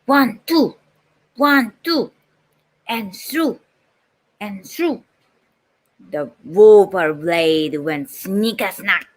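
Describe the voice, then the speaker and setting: Theatrical storyteller for heroic monster verse, performed by a woman, Japanese accent. Extremely measured pace, emphatic, stretched-out words. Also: medium pitch, animated. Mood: suspenseful. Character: dramatic storyteller reciting a heroic nonsense monster poem. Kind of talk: theatrical poetry recitation.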